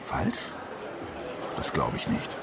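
A man's voice: takes it slowly, a crowd in the background, noisy background, close to an everyday voice. An actor playing an effusive, chummy male newspaper editor in a radio drama. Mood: matter-of-fact, defensive.

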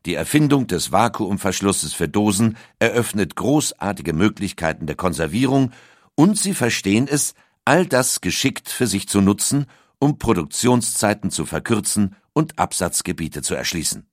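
A man reading a scripted documentary audiobook in a measured, articulate storytelling manner. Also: fairly low pitch, very animated, no echo, clean studio sound. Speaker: narrator.

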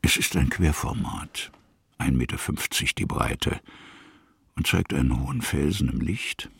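A reflective storyteller in a radio play. His voice is very husky and crackles with heavy fry, recorded close and dry. He sets out each image in short, measured fragments. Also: a bass voice, lively intonation, a bit bright, no echo, heavily acted. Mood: musing. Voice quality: gravelly, husky. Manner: measured, musing, fragmentary phrasing. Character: slow, musing male storyteller. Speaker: narrator. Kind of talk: literary radio drama narration in present tense.

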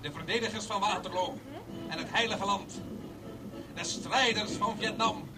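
A man's voice: high-pitched, measured pace. An actor in a radio drama playing a showy, pompous tour guide who declaims to his listeners. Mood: solemn, grandiose. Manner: declamatory, grandiose patter to a crowd. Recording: noisy old radio recording, distant from mic.

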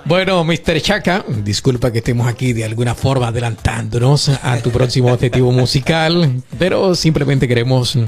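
A male interviewer with a gravelly, creaky voice at a medium pitch. He asks questions in a courteous, conversational way with measured pauses, over faint music. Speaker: radio or show interviewer.

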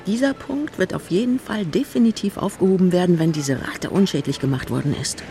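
Contemptuous court plotter, highish creaky voice, imperious, clipped, radio play. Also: male voice, brisk, background noise. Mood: cold, scornful. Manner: imperious, clipped, disdainful questioning. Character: haughty, cold royal conspirator, sharp and contemptuous. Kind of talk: German radio drama acting. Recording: music under the voice. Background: music.

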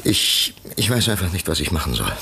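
A man playing a troubled, grieving gentleman in a 1950s German radio play, halting, emotional and dramatic in his delivery. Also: low voice, big pitch swings, gravelly, slight background noise, heavily acted. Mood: distraught. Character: troubled, grieving wealthy gentleman, agitated and haunted.

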